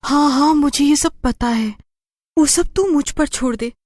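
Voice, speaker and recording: A woman's voice: high voice, unhurried, a little mellow, no echo, pitched above the performer's own voice. A woman voicing a scared but scheming young girl with an earnest, insistent, childlike delivery.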